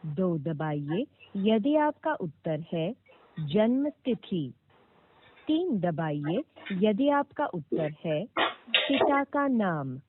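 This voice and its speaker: A woman reading scripted automated phone prompts with formal, clearly enunciated instructions, heard over a narrow phone line. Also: fairly low pitch, big pitch swings, extremely slow, slight background noise. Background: played back from a recorded call.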